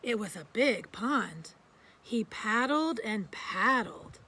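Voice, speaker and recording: Lady reading a picture book to kids, real animated pitch, takes her time, gentle. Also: takes it slowly, slight background noise. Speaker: storyteller. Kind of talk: children's picture book read-aloud.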